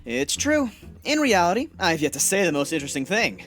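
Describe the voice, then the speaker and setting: A cocky young gunman from a crime gang, acted by a man, pitched fairly high and swinging freely up and down as he banters. He is breezy and playful, in an audio drama style. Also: sing-song, fairly quick, vocal fry, a bit bright, faint music behind, full character voice. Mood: playful, animated.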